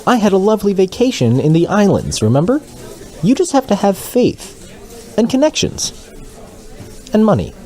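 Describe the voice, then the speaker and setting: A man's voice: sits high, wildly swooping pitch, normal speed, long pauses, warm tone. A man playing a smug, cynical news co-anchor in a satirical newscast, needling with flippant, dry sarcasm. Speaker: podcast news co-presenter. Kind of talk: satirical fictional newscast banter.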